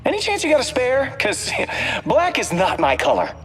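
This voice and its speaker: An actor playing a cocky, goofy, wisecracking space pilot in a video game, flippant and very expressive. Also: male voice, extremely high pitch, normal speed, exaggerated voice. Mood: joking. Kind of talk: video game character dialogue. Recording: long reverb, faint music.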